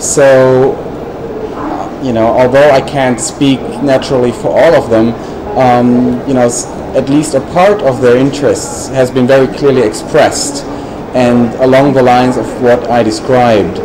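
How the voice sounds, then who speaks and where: A man on a panel replying at length to an audience question in a measured way, with frequent fillers. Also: medium pitch, steady tone. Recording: noisy recording.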